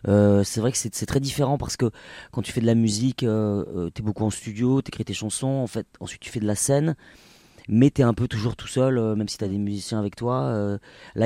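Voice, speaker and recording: A man's voice: fairly low pitch, warm tone, dry recording. A man in his forties from the French Basque Country, a Basque native speaker, talking casually as an interview guest with many hesitations and restarts. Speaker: singer and actor guest. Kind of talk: relaxed interview talk.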